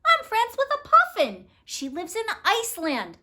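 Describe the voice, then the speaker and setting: A woman's voice: very high voice, huge pitch swings, unhurried, sharp tone, pitched above the performer's own voice, heavily acted. A woman voicing an eager, oblivious little male bird who talks in a chirpy, boastful way for a storybook. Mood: proudly boasting. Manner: chirpy, boastful, self-absorbed.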